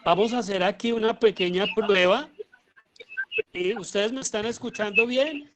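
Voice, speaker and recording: A man's voice: high voice, moderate pace. Colombian man lecturing informally, searching for words. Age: middle-aged. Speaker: lecturer, radio drama director.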